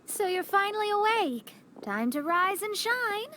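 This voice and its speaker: A woman voicing a theatrical teenage dark princess in a game, speaking in a pompous, archaic, dramatic way. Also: very high voice, sing-song, a little slow, slight background noise, exaggerated voice. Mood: grandiose. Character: theatrical teenage girl, self-styled grandiose dark princess. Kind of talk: animated game voice acting.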